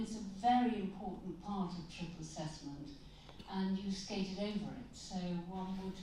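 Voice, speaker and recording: A woman's voice: fairly low pitch, darkish tone, slight background noise. A woman radiologist putting a pointed, critical question from the audience at a conference. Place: large conference hall. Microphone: handheld mic passed around the audience. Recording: echoey hall, distant mic.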